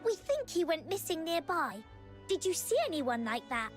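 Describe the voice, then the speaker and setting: A woman's voice: extremely high pitch, big pitch swings, normal speed. A woman voicing an anxious, earnest young schoolgirl in a video game, with a girlish voice. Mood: curious. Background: background music.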